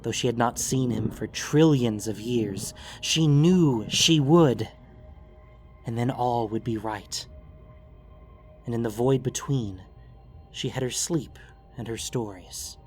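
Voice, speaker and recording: A man narrating a scripted fantasy story in a solemn, dramatic way with deliberate phrasing. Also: medium pitch, a little mellow. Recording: music bed under the voice. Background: background music.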